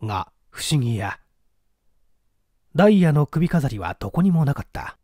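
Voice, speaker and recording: A man's voice: a little high, very animated, moderate pace, dark tone, dry recording, clean studio sound. A man narrating a mystery story from a script as an audiobook reader.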